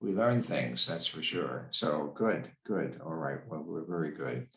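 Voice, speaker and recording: A man's voice: rumbling low, lively intonation, unhurried, fairly bright tone. A man hosting a church interview series over a video call, affirming his guest and repeating words of praise. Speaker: host of church discussion series. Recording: echoey room.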